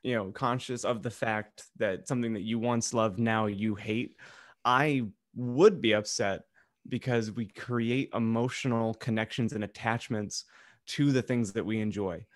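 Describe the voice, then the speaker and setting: A man's voice: lowish voice, unhurried, no echo, studio quality. A man co-hosting a podcast, talking casually in a rambling, jokey, sometimes crude way. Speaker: podcast co-host. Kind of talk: casual group banter and opinion.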